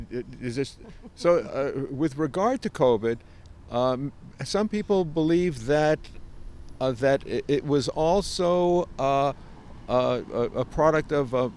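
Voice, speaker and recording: A man with an average-pitched voice poses interview questions. He speaks haltingly, often searching for the right word, with faint outdoor traffic noise in the recording. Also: a little mellow.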